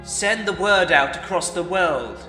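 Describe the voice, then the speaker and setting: A man voicing a zealous dark elf herald of a murder god, proclaiming with ceremonial fervour for a fantasy story. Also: high-pitched, expressive, a little slow, music in the background. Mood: exultant, fervent. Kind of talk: fantasy audiobook character acting. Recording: echoey hall reverb.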